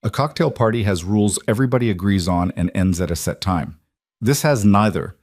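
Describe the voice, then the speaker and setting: A man voicing a blunt male crime boss in his sixties, gravelly and calm in a plain-spoken, lecturing way. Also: fairly low pitch, a little fast, dry recording, clean studio sound. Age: 60s.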